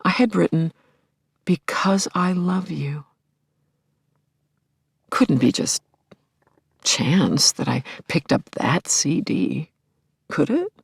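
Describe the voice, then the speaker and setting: A woman's voice: low-pitched, expressive, slow pace, gravelly, long pauses, husky, dry recording, studio quality, heavily acted. An actress playing a grieving middle-aged widow in an audio play, telling her story in a searching, intimate way that can drop toward a whisper. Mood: wondering, hushed. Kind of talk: dramatic first-person monologue, audio play.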